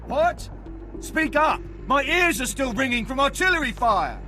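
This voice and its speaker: Game role: rattled, dutiful male intelligence agent, clipped and deferential, very lively intonation. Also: very high voice, measured pace, faint music behind, full character voice. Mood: alarmed, shouting. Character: rattled, earnest male military spy for the Sith. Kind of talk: video game cutscene acting.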